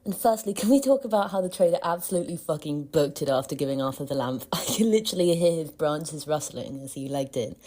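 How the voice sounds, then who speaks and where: Woman w/ dark mellow lower voice, amused informal fan voice msg. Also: expressive, fast, hardly any pauses. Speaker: podcast listener sending voice message. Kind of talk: casual fan commentary.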